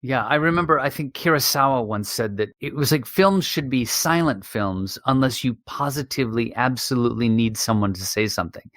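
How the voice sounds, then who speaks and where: A man's voice: mid-range voice, animated, fairly quick, hardly any pauses, a bit bright, dry recording. Man, casual podcast host, dry sound. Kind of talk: casual interview chat.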